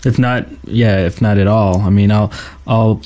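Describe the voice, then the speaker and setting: A man's voice: lowish voice, fairly even intonation, unhurried, dark tone, poor audio. A man co-hosting a casual gaming podcast, talking in a hesitant, rambling way with trailing thoughts. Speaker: podcast co-host.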